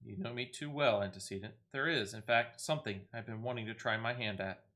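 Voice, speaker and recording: A man's voice: on the low side, a little fast, pushed and bright. A man voicing an earnest, polite small female receptionist from a fantasy video game, speaking formally and humbly. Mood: earnest, resolute. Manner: formal, deferential fantasy phrasing.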